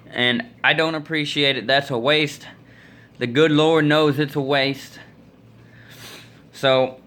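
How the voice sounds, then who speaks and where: A man's voice: a little high, bright, forceful tone. A man in his twenties with an American accent, telling stories casually in a folksy, opinionated way into a phone at arm's length. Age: 20s. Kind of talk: casual rambling storytelling to camera. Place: small indoor room.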